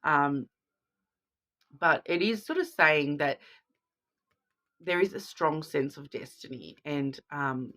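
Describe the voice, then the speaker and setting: A woman's voice: low-pitched, animated, slow pace, pauses often, bright, forceful tone. A woman with a cold, sounding sniffly, giving a chatty card reading to viewers and often checking in with "okay?". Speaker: tarot card reader.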